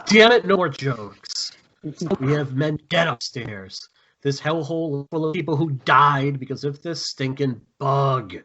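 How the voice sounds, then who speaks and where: A man's voice: mid-range voice, a little slow, pushed and bright, lo-fi sound. A man playing a stern, commanding squad leader who gives clipped military orders in a script read. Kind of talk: screenplay table read.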